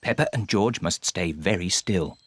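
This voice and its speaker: A man narrating a children's cartoon in a calm, dry, understated way. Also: low voice, normal speed. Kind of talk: scripted storybook narration. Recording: narration with loud cartoon music.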